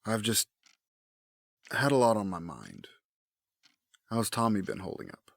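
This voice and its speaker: A man's voice: on the low side, wildly swooping pitch, measured pace, creaky, no room sound, exaggerated voice. A man playing a grieving, bitter, brooding figure in an audio drama, grave and intense in delivery. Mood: subdued.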